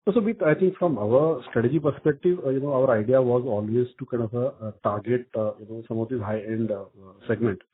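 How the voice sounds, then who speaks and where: A man with an Indian accent answering as a company executive on an earnings call, speaking haltingly with many repeated filler phrases. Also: mid-range voice, breathy edge. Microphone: telephone conference call. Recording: muffled narrow phone line.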